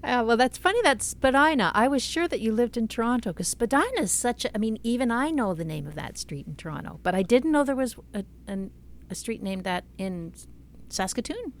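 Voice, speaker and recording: A woman's voice: a little high, lively intonation, brisk. A woman hosting a conversational interview, engaged and asking follow-up questions. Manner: engaged, asks follow-up questions, reads lines aloud. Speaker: interview host.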